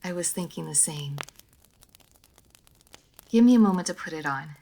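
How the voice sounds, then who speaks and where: A woman's voice: lowish voice, animated, moderate pace, mellow. A woman playing a centuries-old female vampire in a roleplay audio drama, prim, proud and guarded yet playful, with formal, old-fashioned phrasing. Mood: composed, instructing.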